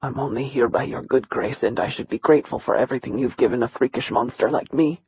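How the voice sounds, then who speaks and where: A woman voicing a terrified, beaten young male hybrid servant who speaks in a quivering, breathy hush. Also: mid-range voice, steady tone, quick pace, dry recording, dull audio, voice pushed down. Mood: hollow. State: in pain and panic.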